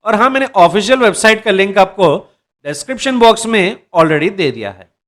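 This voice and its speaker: A man's voice: high-pitched, animated, normal speed, fairly bright tone. A man presenting a job recruitment update to viewers, addressing them directly and sometimes restarting as he reads out details. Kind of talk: explainer reading job details.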